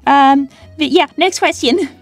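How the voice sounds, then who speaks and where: A woman's voice: sits high, a little slow, no room sound, soft background music. A woman voicing a young female cartoon hedgehog, peppy and touchy, with a sarcastic, girlish manner. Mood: flustered. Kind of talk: cartoon character answering fan questions.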